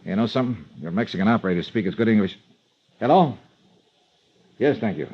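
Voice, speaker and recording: A man's voice: fairly low pitch, expressive, fairly quick, husky, dry recording. A man acting in a 1950s radio drama, playing a hard-boiled, wary insurance investigator with clipped, emphatic, tough talk. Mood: businesslike. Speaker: star of a radio detective serial. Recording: dull vintage radio recording.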